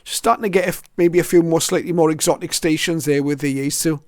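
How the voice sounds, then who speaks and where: A mature man presents his hobby collection in a casual, reflective way. His voice sits a little high and stays even in pitch, with a clean, smooth tone. He moves through items one by one, often hesitating as he goes. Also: fast, dry recording. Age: middle-aged or older. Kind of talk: casual show-and-tell narration.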